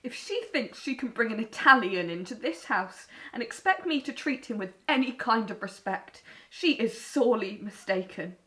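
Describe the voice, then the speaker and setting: A woman acting in an audio drama as a stern, resentful middle-aged mother in wartime, with a sharp, disapproving manner. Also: fairly high pitch, animated, moderate pace, hardly any pauses. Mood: indignant.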